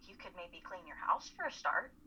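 A woman's voice: fairly low pitch, big pitch swings, normal speed, bright, forceful tone, slight background noise, exaggerated voice. A young woman playing a caring, worried and insistent college student in a dramatic play reading. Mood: exasperated, firm. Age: young adult. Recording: muffled, telephone-like remote call audio.

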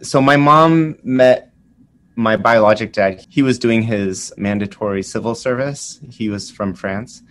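Relaxed low-voiced male doctor. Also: a little slow. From Vietnam. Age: 40s. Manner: relaxed storytelling with fillers. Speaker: physician guest. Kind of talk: conversational interview.

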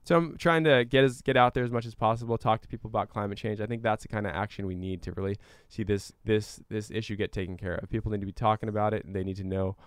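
A man with a fairly deep, clean and resonant voice answers interview questions conversationally. He explains things in an earnest, measured way, and the sound is close and dry. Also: fairly even intonation, smooth, dry recording. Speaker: interview guest, climate activist and scientist.

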